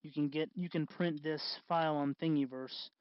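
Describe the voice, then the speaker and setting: A man hosting a do-it-yourself tutorial in casual, unscripted talk, halting and correcting himself as he goes. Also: fairly high pitch, steady tone, clear, resonant tone, dull audio. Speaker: host of a DIY costume electronics tutorial.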